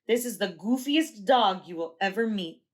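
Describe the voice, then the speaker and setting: A jolly old grandpa in a storybook who greets the boys with hearty, joking warmth, read by a woman in a near-natural voice. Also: mid-range voice, lively intonation, moderate pace, close to an everyday voice. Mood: cheerful. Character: cheerful grandpa, friendly and jokey. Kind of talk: children's storybook read-aloud.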